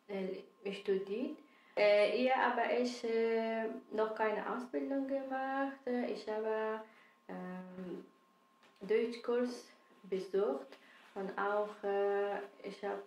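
A woman's voice: medium pitch, a little mellow, reverberant, slight background noise, poor audio. A woman in her thirties from Afghanistan, a podcast guest, answering hesitantly with many fillers. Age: 30s. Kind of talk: interview answers.

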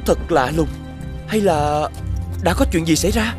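A man voicing an earnest, brave young fairy-tale prince in an expressive children's cartoon style. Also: fairly high pitch, a little slow, music in the background, close to an everyday voice. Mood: puzzled, commanding.

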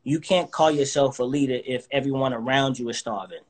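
A man in his thirties, calling in to a live video chat from his living room. He talks with confidence and a preacher's drive, at a medium pitch. The sound is plain phone or webcam audio. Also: brisk. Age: 30s. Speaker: guest artist. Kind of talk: casual interview over live video. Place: indoors, living room with couch.

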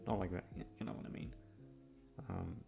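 Man, low gravelly voice, casual solo chat, downbeat and moany, sweary, faint music behind. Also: fairly even intonation, brisk, warm tone. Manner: downbeat, complaining, swears freely. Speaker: channel host, audio voice actor. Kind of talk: casual rambling chat to listeners.

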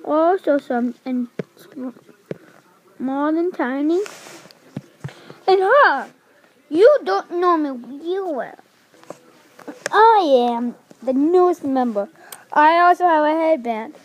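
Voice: sits high, expressive, slow, long pauses, slight background noise, poor audio. A child improvising a pirate puppet show, acting out the characters and chanting in a sing-song way.

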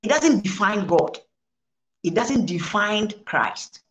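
Earnest Ghanaian woman preaching, lively pitch. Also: slow, roomy sound. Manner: earnest, repeats phrases, mixes English and Twi. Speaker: reverend guest. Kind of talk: preaching interview answers. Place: studio set, indoors.

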